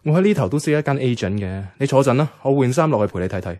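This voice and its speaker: A man's voice: mid-range voice, animated, rapid-fire, a little mellow, natural voice. An actor playing a fussy, practical young man with a Hong Kong Cantonese accent, speaking matter-of-factly in a radio drama. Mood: wary, nagging.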